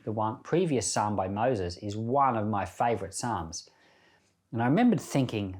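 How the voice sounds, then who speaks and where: A male pastor speaks in a middle-pitched voice on a Bible podcast. He reads scripture and talks through it in a conversational, reflective way, like informal devotional teaching. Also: a little mellow.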